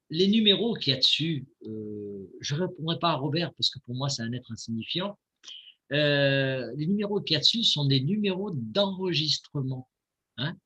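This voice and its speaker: Husky Italian-born man, animated delivery. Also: on the high side, lo-fi sound. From Italy. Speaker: co-presenter in an online video. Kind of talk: informal explanation to viewers. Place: on camera in an online video call.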